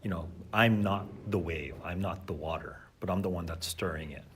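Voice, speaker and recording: A man's voice: low voice, normal speed, a bit bright, a little hiss or hum. A man, a teacher, talking earnestly and thoughtfully as a podcast guest. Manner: earnest, thoughtful, with fillers and restarts. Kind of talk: reflective conversational talk.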